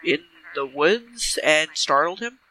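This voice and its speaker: A man's voice: on the high side, animated, takes it slowly, bright, forceful tone, a little hiss or hum, poor audio. A man playing a tabletop role-playing game, improvising casual dialogue with polite, hedging questions.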